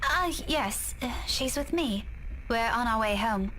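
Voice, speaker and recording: A woman's voice: on the high side, lively intonation, measured pace, pushed and bright, poor audio. An actress playing a hesitant, anxious young woman in a horror visual novel. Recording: game audio with clear background noise.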